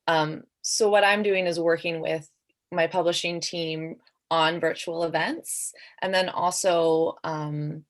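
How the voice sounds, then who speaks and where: Low-voiced middle-aged woman, a novelist. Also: steady tone, takes it slowly. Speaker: debut novelist, guest. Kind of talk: literary reading and casual panel talk.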